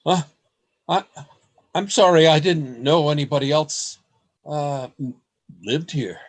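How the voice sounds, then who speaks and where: A man playing an anxious writer narrating his secret diary in a radio play, in a deliberate storytelling manner. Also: a little high. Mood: frightened.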